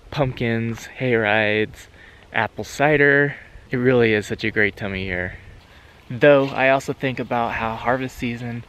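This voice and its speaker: A man's voice: medium pitch, a bit bright, a little hiss or hum, low-quality recording. A man in his thirties vlogging about his homestead, talking earnestly to a camera held at arm's length in an open pasture. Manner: earnest, reflective, talking while walking outdoors. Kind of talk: casual vlog to camera. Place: open pasture outdoors, rainy day.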